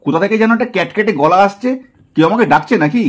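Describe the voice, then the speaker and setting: A man's voice: sits high, expressive, racing. A man voicing a cheeky, teasing, mock-polite young man doll in a puppet drama.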